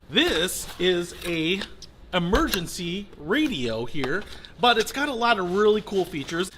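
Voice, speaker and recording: A man's voice: high voice, pushed and bright, slight background noise. A man presenting a product review in an upbeat, informal, colloquial way, talking through a hands-on demonstration. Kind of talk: casual product demonstration to camera.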